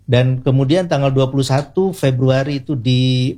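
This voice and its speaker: A man's voice: mid-range voice, steady tone, moderate pace, mellow. A man with an Indonesian accent speaking as an expert guest in an interview, explaining things in a didactic way, point by point, with short tag words. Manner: didactic, enumerating points, often adds short tag particles.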